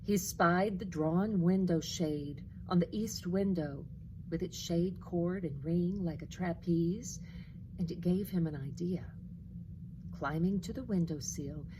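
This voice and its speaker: A woman with a lowish voice reads a children's story at a very unhurried pace. Her delivery is expressive and deliberate, like a teacher's, on a clearly noisy recording. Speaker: second grade teacher. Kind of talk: reading a children's storybook aloud.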